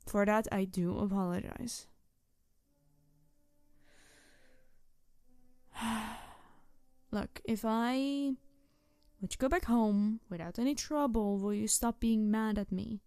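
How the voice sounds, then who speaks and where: A woman voicing a playful, mischievous tanuki spirit girl in a roleplay audio drama, teasing the listener in an excitable, rambling way. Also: medium pitch, measured pace, smooth, dry recording, natural voice. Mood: flustered, apologetic. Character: playful, mischievous young-sounding tanuki trickster spirit girl, over two hundred.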